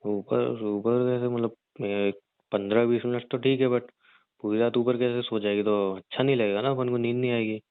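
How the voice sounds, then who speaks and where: A man playing a rough young gangster husband in an audio drama, crude and teasing yet protective, talking in slang. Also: medium pitch, fairly even intonation, moderate pace, no echo, lightly acted. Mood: teasing, annoyed. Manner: slangy, crude, mild swearing. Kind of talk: audio roleplay drama, conversational. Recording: narrow phone-like dry sound.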